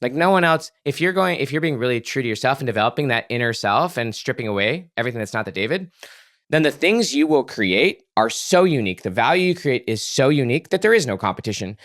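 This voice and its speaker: Male podcast guest, average pitch, conversational expert explainer, earnest and emphatic, dry sound. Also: expressive, fast talker, fairly bright tone, no room sound. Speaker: podcast guest, author and psychologist.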